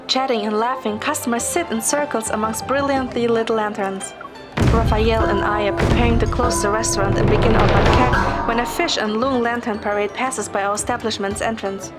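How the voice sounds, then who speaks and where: A woman's voice: on the high side, fairly even intonation, moderate pace. A woman reading scripted first-person story narration. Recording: music behind the voice. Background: music.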